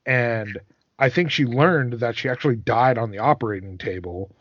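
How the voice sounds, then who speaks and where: A man hosting a casual podcast, chatting like a fan and haltingly repeating words as he works out his questions. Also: medium pitch, a bit bright. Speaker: podcast host. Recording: dry but dull, lo-fi microphone.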